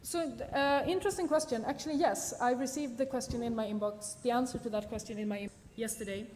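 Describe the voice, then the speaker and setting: A woman's voice: fairly high pitch, fast talker, a little mellow. Woman speaking in a reverberant hall. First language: Swedish. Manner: thinks aloud with frequent ums and restarts. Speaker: conference speaker. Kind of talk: conference talk and Q&A answers. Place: large lecture hall. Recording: long hall reverb, faint noise.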